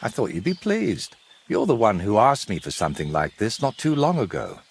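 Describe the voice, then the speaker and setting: A sardonic, secretive painter from a medieval game drama. His low voice moves expressively, wry and evasive, with faint outdoor ambience behind him. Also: wildly swooping pitch, normal speed, a little hiss or hum. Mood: teasing. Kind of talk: dramatic dialogue in a cinematic historical game.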